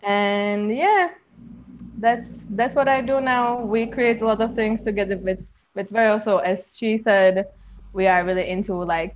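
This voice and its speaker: Girl, laid-back podcast guest, slightly muffled sound. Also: mid-range voice. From Czech Republic. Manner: relaxed, casual, restarts sentences. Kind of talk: casual interview.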